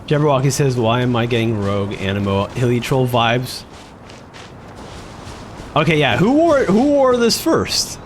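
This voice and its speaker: A man's voice: mid-range voice, big pitch swings, a little slow, long pauses, a little hiss or hum. A man giving casual, joking, rambling live commentary as he streams a video game.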